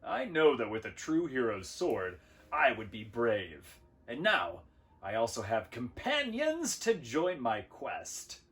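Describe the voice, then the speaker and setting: A man's voice: medium pitch, wildly swooping pitch, slow, fairly bright tone, heavily acted. A man voicing a big, puppyish would-be warrior hero in a storybook, speaking with grandiose earnestness. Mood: proud, dreamy.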